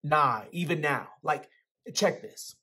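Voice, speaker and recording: A man's voice: on the high side, slow pace, bright, forceful tone, husky, full character voice. A young man with an American accent playing a guarded, streetwise teenage boy in a dramatic book reading. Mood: laid-back, insistent. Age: young adult. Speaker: actor reading a character's dialogue. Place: indoors in a small plain room. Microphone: video call, close to computer.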